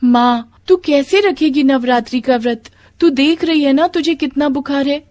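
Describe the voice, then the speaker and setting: Woman voicing a high, earnest little daughter. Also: normal speed, a little mellow, lightly acted. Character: devoted young daughter, a small girl, caring and earnest. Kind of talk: devotional moral storybook dialogue.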